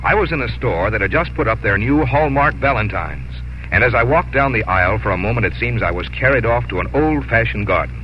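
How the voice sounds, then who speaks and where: Male announcer, folksy, conversational, scripted 1950s sponsor pitch, dull sound, faint noise. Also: lively intonation, fairly quick. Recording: dull, noisy 1950s radio broadcast.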